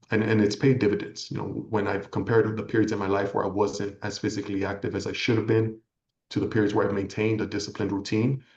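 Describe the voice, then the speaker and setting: Low, gravelly man in an echoey room. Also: a little mellow. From California, United States. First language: Spanish. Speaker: interview guest, attorney. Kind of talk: reflective interview answers. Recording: echoey room, slightly dull audio.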